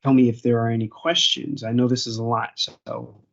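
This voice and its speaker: A man giving an informal software tutorial as a presenter, explaining step by step and often rephrasing himself. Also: mid-range voice, steady tone, moderate pace, gravelly. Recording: dull, muffled online meeting audio.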